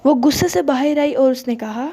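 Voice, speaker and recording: A woman tells a children's moral story in a soft-edged, mellow voice. Her pitch sits a little high, and she speaks as a storyteller narrating a simple tale. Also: normal speed.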